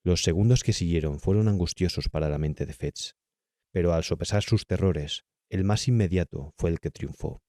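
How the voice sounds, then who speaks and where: A man's voice: sits low, moderate pace, dark tone, no echo, studio quality. A man with a Castilian Spanish accent reading a horror audiobook as a dramatic narrator.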